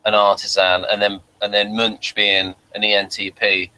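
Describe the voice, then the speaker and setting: A man's voice: fairly low pitch, monotone, normal speed, pushed and bright, clean tone, rough recording. A man hosting a review show in casual, conversational chat.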